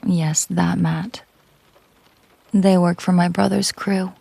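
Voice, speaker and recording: A woman playing a possessive, coolly menacing mafia boss girlfriend in an intimate audio roleplay, teasing and commanding in a breathy, husky murmur. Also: lowish voice, level tone, takes it slowly, dark tone, no echo, exaggerated voice.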